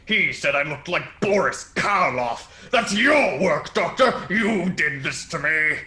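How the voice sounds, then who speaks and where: Radio drama villain male actor: menacing criminal nephew, slow and forceful, quick to anger, faint music underneath. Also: a little high, expressive, heavily acted. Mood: angry, insistent. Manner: slow, deliberate, sinister. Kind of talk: old-time radio play acting.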